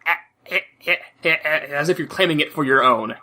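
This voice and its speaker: A man's voice: a little high, moderate pace, bright, forceful tone. A man chatting casually as a podcast host, rambling along with "like" dropped into nearly every sentence. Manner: rambling, heavy use of "like". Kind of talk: casual chat about video games.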